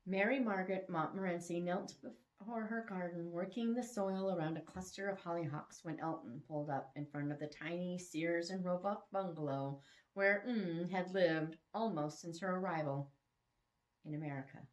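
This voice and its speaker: A woman reading fiction aloud in an expressive, dramatic storytelling style. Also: on the low side, normal speed, poor audio.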